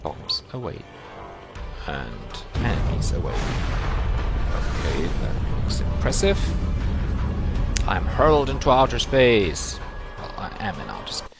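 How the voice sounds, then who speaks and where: Man, gameplay commentary, slow and halting, very animated pitch, loud music behind. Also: creaky.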